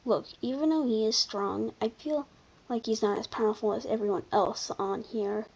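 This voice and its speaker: A commentator giving casual, unscripted video commentary in an informal, halting way, often backtracking. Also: medium pitch, vocal fry, darkish tone, slightly rough, lo-fi sound. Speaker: video creator presenting a ranking.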